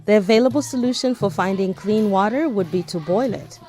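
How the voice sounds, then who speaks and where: A woman reading scripted documentary narration in a measured, informative way. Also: mid-range voice. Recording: voice-over with soft music behind.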